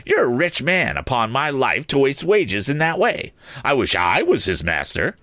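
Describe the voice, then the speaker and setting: A man's voice: mid-range voice, very animated, normal speed, pushed and bright, no room sound, studio quality, pitched above the performer's own voice. A man voicing a furious, shrewish wife who scolds and screams in a storybook reading. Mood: scolding.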